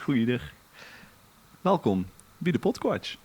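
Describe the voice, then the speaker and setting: A man's voice: mid-range voice, big pitch swings, slow pace, darkish tone. A man with a Limburgish Dutch accent hosting a casual podcast, chatting in a jokey way. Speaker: podcast host. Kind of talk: casual banter and chat.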